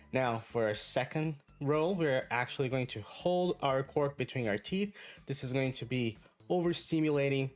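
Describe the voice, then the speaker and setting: Brazilian Portuguese-native man teaching English. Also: mid-range voice. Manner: clear, deliberate, encouraging. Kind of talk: online pronunciation lesson.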